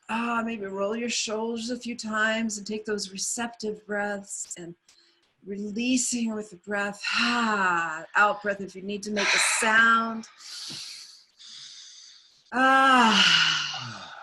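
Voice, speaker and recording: A woman leading a guided meditation on a video call, speaking softly and soothingly. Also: mid-range voice, a little hiss or hum. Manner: soft, unhurried, soothing.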